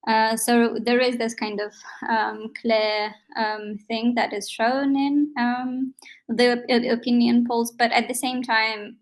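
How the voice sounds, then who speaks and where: A woman's voice: a little high, level tone, takes it slowly. A woman whose first language is Romanian, answering as a panel guest in a hesitant way, with many ums and restarts. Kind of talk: thoughtful spoken answer.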